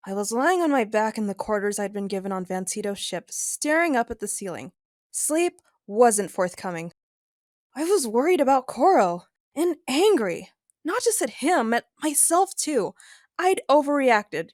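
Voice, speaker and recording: A woman's voice: on the high side, dry recording, clean studio sound. A woman playing a frank, feisty, worried female adventurer in an audio drama, speaking candidly and with emphasis. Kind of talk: first-person narration and dialogue in an audio drama.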